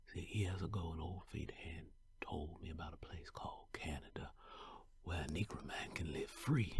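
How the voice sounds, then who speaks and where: A male voice actor playing a young enslaved man fleeing to freedom, weary but determined, in a husky, breathy, heartfelt first-person historical narration. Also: sits low, a little mellow, a little hiss or hum. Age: young adult.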